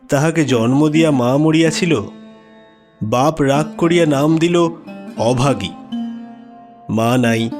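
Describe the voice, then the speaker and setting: A man narrating a classic literary story in a measured, expressive, dramatic way. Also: fairly high pitch. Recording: music behind the voice.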